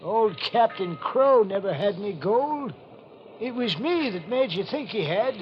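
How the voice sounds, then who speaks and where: A vengeful old rustic who is touched in the head, in a 1940s radio drama. His voice is high and swings widely as he takes his time over folksy, cackling speech. The old recording is muffled. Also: slight background noise. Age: older. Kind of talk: 1940s radio mystery acting.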